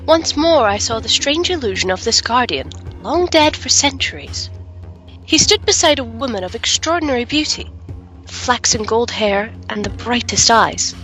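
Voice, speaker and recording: Young fantasy heroine played by a woman, bookish, earnest, highish crisp bright voice, formal storyteller's diction, music underneath. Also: lively intonation, moderate pace, rough recording. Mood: solemn narration. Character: shaken but curious young woman adventurer, bookish, romantic. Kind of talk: fantasy audio drama dialogue and first-person narration.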